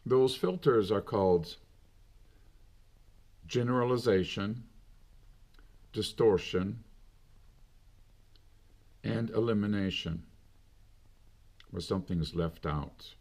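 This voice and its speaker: A middle-aged man delivering a slow, deliberate monologue with long pauses between phrases. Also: on the low side, darkish tone. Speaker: commentator. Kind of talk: unscripted monologue.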